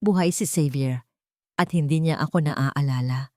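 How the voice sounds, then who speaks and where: A woman's voice: deep voice, moderate pace, warm tone, no room sound, clean studio sound, voice pushed down, close to an everyday voice. A woman voicing a distraught but determined young female singer in an audio love story. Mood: insistent, pleading. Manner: insistent, tearful pleading.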